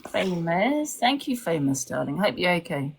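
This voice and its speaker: A woman's voice: on the low side, very animated, normal speed, creaky, a little hiss or hum. A woman chatting and debating casually as a panellist on a livestream. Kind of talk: casual live chat and debate.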